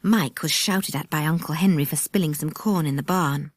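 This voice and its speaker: A woman's voice: low voice, moderate pace, no room sound. Woman narrating a children's story, dry sound. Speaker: audiobook narrator. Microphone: close studio microphone.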